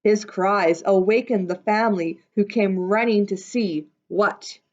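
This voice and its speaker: Slow-paced woman reading a children's storybook. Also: medium pitch, a little mellow, lo-fi sound. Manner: unhurried, explains things simply to young listeners. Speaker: storyteller reading a picture book aloud. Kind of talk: expressive children's read-aloud with casual asides.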